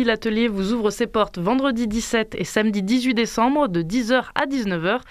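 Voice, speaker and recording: A woman presenter reading local event announcements on the radio in a smooth, scripted way. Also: mid-range voice, no echo.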